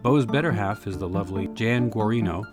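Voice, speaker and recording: A man's voice: fairly low pitch, brisk. A man reading a scripted introduction as an announcer, in a formal narrating style. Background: plucked guitar music.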